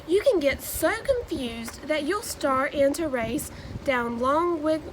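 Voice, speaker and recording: Woman in her twenties, high-pitched, slow and deliberate, storybook read-aloud, sing-song phrasing. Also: animated, background noise. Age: 20s. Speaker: new education graduate reading a children's book.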